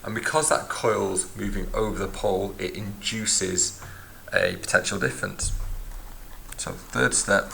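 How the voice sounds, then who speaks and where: A man's voice: lowish voice, fairly even intonation, unhurried, vocal fry, sharp tone, a little hiss or hum. A man teaching a physics lesson, explaining step by step and recapping as he writes in a notebook. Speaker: science teacher. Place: at a desk, filming a notebook from above.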